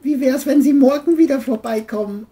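A high-pitched, brazen male killer from a crime audio play, acted out by a woman. He lies with exaggerated courtesy and dodges every question. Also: fairly quick, dark tone, close to an everyday voice. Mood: smug, dismissive. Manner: mock-polite, evasive.

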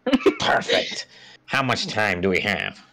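A man's voice: lowish voice, wildly swooping pitch, unhurried, vocal fry, bright, forceful tone, full character voice. A man voicing a scheming, grumpy, pompous little cartoon fellow in a brash, indignant way.

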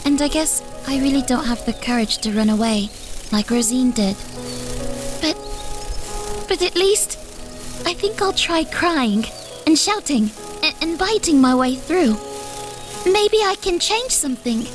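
A shy young girl from a comic dub, voiced by a woman, speaking softly with hesitant restarts. Her voice is a touch high and slow. Music plays underneath. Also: expressive. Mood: hopeful. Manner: hesitant, soft-spoken. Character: young village girl, timid but resolving. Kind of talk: fan motion comic dub.

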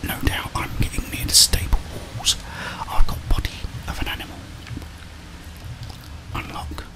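A middle-aged man whispers ASMR gameplay commentary in a breathy voice, muttering and mildly grumbling to himself. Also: moderate pace. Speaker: gamer, ASMR video host. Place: indoors, small room at home.

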